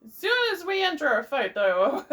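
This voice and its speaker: A woman's voice: high voice, sing-song, measured pace, fairly bright tone. A woman giving casual, off-the-cuff commentary as she plays a video game. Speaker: gamer, host of a let's play.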